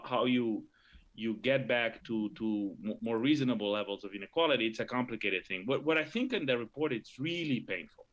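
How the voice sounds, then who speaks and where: A middle-aged man from Argentina, a professor guest on a live talk show, speaking haltingly with many restarts and fillers. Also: mid-range voice, a bit bright.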